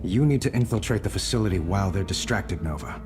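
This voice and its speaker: A resolute ruler lays out orders calmly. His voice is deep and measured, with clear music playing behind it. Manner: measured, commanding, earnest. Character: resolute, calm young male emperor. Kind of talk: video game cutscene acting.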